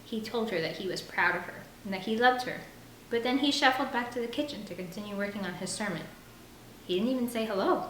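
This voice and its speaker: A woman's voice: medium pitch, a little fast. A woman narrating a first-person novel aloud as an audiobook reader. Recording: roomy echo with faint background noise.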